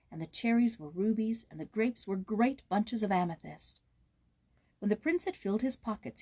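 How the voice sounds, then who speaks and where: A woman reading a fairy tale aloud as a storybook narrator, expressive and with dramatic emphasis. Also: medium pitch, no echo.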